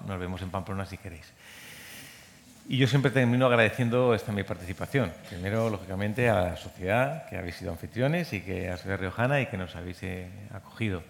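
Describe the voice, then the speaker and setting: A man coordinating a medical training program gives a rambling conference talk, full of restarts and repeated words. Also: on the low side. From Madrid. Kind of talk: conference speech. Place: conference hall. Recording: long hall reverb.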